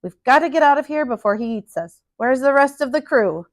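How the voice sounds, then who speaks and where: A woman's voice: high voice, lively intonation, fairly quick, dry recording, clean studio sound. A woman voicing a bold, suspicious male gingerbread pirate captain from a storybook, blustery and commanding. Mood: wary.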